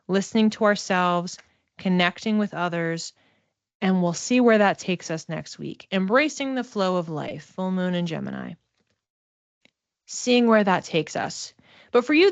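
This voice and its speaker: A woman's voice: medium pitch, no echo. Woman giving a spiritual reading, slightly dull sound. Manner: reassuring, conversational. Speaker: psychic oracle card reader and mentor. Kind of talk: informal spiritual reading addressed to one student.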